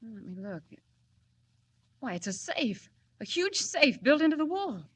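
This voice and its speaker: An actress playing an eager, sharp-tongued young newspaper reporter who questions emphatically in 1940s radio style. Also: female voice, high voice, very animated, a little slow, slightly rough, full character voice. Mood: curious, amazed.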